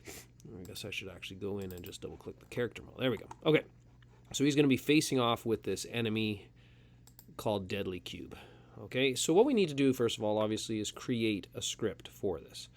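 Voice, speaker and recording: A man's voice: on the low side, brisk. A man with a slight head cold, casually talking through a programming tutorial at his computer, with plenty of fillers along the way. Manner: relaxed, talks through steps with fillers. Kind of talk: casual screen-recorded coding tutorial.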